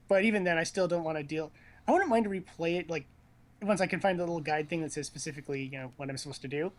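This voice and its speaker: A man casually chatting as he watches a video game, teasing and joking in slangy talk. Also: a little high. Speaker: commentator on a video game stream.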